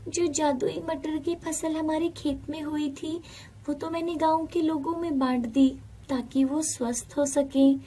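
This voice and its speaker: A woman's voice: high voice, steady tone, a little slow, warm tone, voice pushed up. A woman voicing a humble farmer's wife in a storybook tale, earnest and emotional. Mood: worried.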